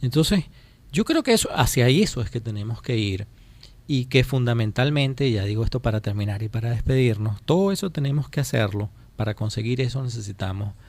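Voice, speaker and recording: A Venezuelan man giving political commentary as a radio interview guest, speaking emphatically and deliberately and repeating phrases to drive points home. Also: mid-range voice, lively intonation, darkish tone, dry recording. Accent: Venezuelan Spanish. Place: radio studio.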